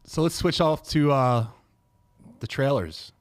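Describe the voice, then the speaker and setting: A man's voice: mid-range voice, animated, measured pace, no room sound, clean studio sound. A man hosting a podcast in a casual, chatty style. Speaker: podcast host. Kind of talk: casual chat.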